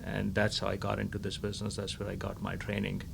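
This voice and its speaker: A man's voice: on the low side, monotone, quick pace, gravelly. A middle-aged man from India answering interview questions about his business in a polite, upbeat way. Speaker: guest, lending firm founder. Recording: noisy recording.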